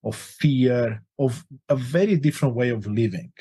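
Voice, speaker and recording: A man's voice: medium pitch, normal speed, mellow, husky. A forty-year-old man from Romania, a life coach telling his life story in an interview, earnest and open. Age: 40s.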